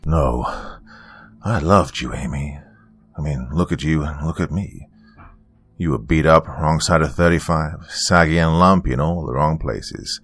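A man voicing a charming but menacing serial killer in a thriller story, deep-voiced, smooth, taunting and boastful. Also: measured pace, a bit bright, dry recording, exaggerated voice. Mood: cold accusing.

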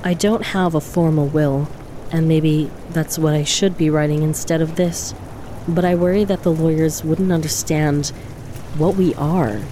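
A woman's voice: deep voice, fairly even intonation, unhurried, mellow, slight background noise. An actress voicing a young woman reading her farewell letter in a tender, intimate way. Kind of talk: reading a letter in an audio drama.

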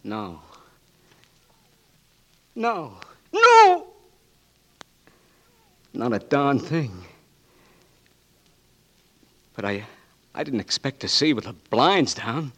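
A cocky blinded young Marine. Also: male voice, mid-range voice, huge pitch swings, takes it slowly, long pauses, bright, forceful tone, exaggerated voice. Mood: desperate. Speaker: patient. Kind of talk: 1940s radio acting.

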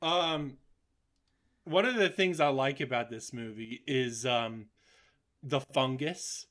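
A man co-hosting a podcast, discussing films casually and haltingly as he thinks aloud. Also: medium pitch, a bit bright. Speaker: podcast co-host.

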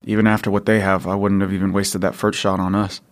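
An actor playing a weary, gruff father surviving after the end of the world, talking in short clipped lines. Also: deep voice, little pitch movement, fast talker. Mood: tense calm. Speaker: father character John.

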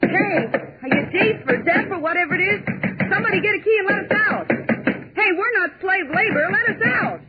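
A woman's voice: extremely high pitch, lively intonation, over loud music. An actress playing a brash, sarcastic young woman typist in a 1940s radio drama, with a scoffing, bossy way of talking. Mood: exasperated. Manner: scoffing, bossy, quick retorts. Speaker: office typist. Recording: tinny 1940s radio broadcast.

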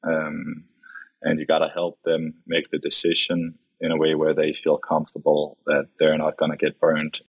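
A man in his late twenties with a Danish accent, chatting casually and haltingly as a modest interview guest. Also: extremely low pitch, monotone, slightly rough, dull audio. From Denmark, grew up in Copenhagen. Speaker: podcast guest, company vice president.

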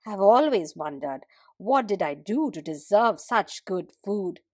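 A woman's voice: medium pitch, expressive, a little slow, darkish tone, slightly rough, dry recording, clean studio sound. A woman voicing a bold, restless sheep in a children's folktale, earnest and urging. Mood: warning, urging.

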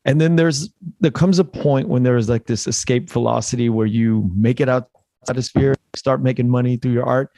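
A man's voice: medium pitch, moderate pace, mellow, dry recording. A man hosting an interview, asking long, rambling questions in a casual tone. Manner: rambling, loosely strung questions. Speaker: podcast host.

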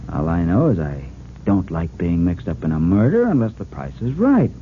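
An actor playing a greedy small-time crook in an old-time radio drama, insinuating and pushy. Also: male voice, low-pitched, very animated, unhurried. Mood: greedy, pressing. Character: greedy small-time crook, sly man pressing for money. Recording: muffled narrow-band vintage radio recording, hiss.

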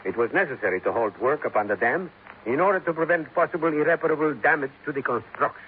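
An actor playing a calm, stubborn police captain with a Spanish accent, speaking formally and deliberately in a 1950s radio drama. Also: male voice, fairly high pitch, phone-quality sound, natural voice. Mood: firm, controlled. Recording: noisy, thin 1950s radio recording.

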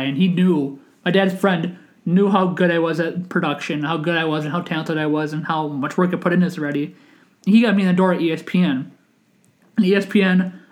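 Young guy hosting a podcast, high voice, rambly and earnest, keeps restarting. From Wisconsin, United States. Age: 20s. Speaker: podcast host. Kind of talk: casual chat.

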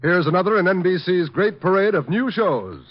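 A male announcer introduces a program in mid-century radio fashion. His voice is pitched high over loud music, with the muffled sound of an old broadcast. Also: animated, normal speed. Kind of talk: 1950s radio show introduction.

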